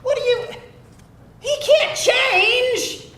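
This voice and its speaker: A woman's voice: very high voice, extremely slow, a bit bright, heavily acted. A woman playing an anxious, apologetic sidekick with a hesitant, fretful manner at a live screenplay reading. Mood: alarmed, disbelieving. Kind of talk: live screenplay table read. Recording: echoey live room recording.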